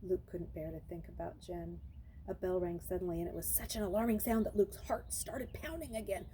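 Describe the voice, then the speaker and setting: A woman's voice: fairly low pitch, a little fast, dark tone, slight background noise. A woman reading a children's novel aloud, narrating expressively and dramatizing the story.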